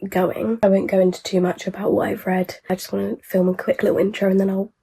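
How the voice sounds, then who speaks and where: A young woman vlogging casually about her reading. She has a sore throat and sounds bunged up, so she talks quietly and cannot project her voice. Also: mid-range voice, level tone, quick pace, creaky, slightly rough. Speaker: book vlogger. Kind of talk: casual vlog talk to camera.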